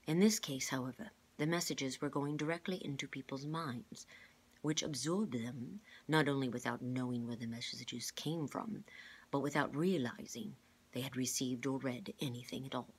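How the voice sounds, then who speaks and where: A grave male scientist from a storybook, read by a woman, explains patiently in a low, husky voice. The phrasing is measured and formal. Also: exaggerated voice.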